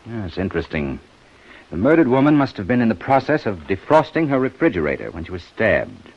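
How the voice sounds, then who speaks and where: A commanding, methodical detective inspector in a vintage radio mystery. His deep voice moves through wide inflections as he probes deliberately, on a dull old recording. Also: sing-song, breathy edge, full character voice. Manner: deliberate, probing. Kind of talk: 1950s radio acting.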